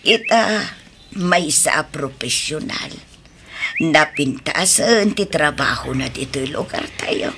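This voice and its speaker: A woman playing a gentle, caring, ageing mother in a radio drama. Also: sits low, lively intonation, takes it slowly, heavily acted. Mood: tender, caring. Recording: noisy radio drama recording.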